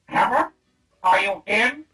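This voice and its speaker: An actor playing an earnest, exasperated police sergeant in a comic radio play. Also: male voice, sits high, animated, slow pace, bright, forceful tone, heavily acted. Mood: urgent.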